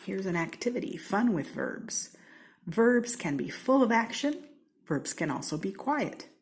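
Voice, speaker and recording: Lively American woman reading a children's storybook. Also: mid-range voice. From Seattle, United States. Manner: theatrical, deliberate, with playful emphasis and whispered asides. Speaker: storybook reader. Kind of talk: expressive children's read-aloud.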